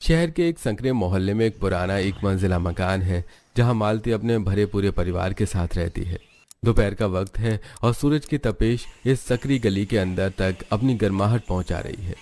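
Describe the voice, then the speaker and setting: Male storybook narrator, lower voice, slow descriptive reading. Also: darkish tone.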